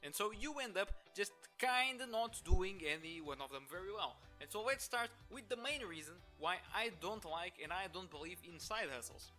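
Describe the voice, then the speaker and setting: Portuguese-accented young man, bright voice. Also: high-pitched, expressive, normal speed, few pauses, faint music behind. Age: 20s. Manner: opinionated, many restarts and filler. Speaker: business owner. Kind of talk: talking-head monologue to camera.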